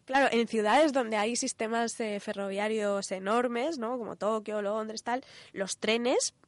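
A woman's voice: a little high, unhurried, no echo. Chatty Spanish woman explaining, close and dry. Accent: Spanish from Spain. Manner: informal, conversational, explaining step by step. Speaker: radio programme co-host presenting a segment. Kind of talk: casual explanatory chat.

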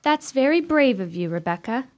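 A woman playing a bold, curious, strong-willed sister in a radio chiller, with formal, dramatic diction and a husky voice. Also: high-pitched, very animated, moderate pace. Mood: determined. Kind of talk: theatrical radio play acting.

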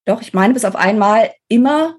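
Husky woman explaining in a podcast. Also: a little high, lively intonation, normal speed, a little mellow. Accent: German. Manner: emphatic, informal, thinking aloud with self-corrections. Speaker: interview guest, author. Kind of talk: conversational expert explanation in a podcast interview.

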